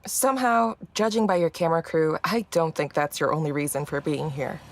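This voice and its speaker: Low-voiced, guarded, defiant woman played by an actress. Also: a little fast, a little hiss or hum, natural voice. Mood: hostile. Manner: terse, curt. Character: guarded, defiant, hard-edged woman on the run. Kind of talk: horror audio drama acting.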